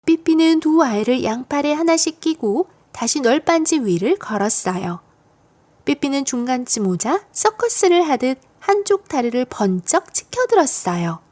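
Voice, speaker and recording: High-pitched, lively woman narrating a storybook. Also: normal speed. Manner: expressive, storytelling for young children. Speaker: audiobook narrator of children's stories.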